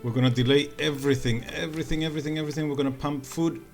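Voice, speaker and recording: A man's voice: on the high side, steady tone, racing. A man streams a strategy game and thinks aloud as he plays. He jokes with his chat in a loose, casual way. Faint game music hums underneath. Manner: joking, thinking aloud while playing. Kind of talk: live gameplay commentary.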